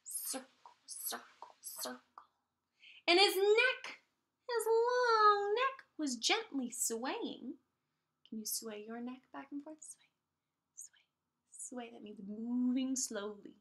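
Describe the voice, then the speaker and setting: A woman preschool teacher reading a picture book aloud in an expressive, encouraging way, pausing to explain things simply and ask young children questions. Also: high-pitched.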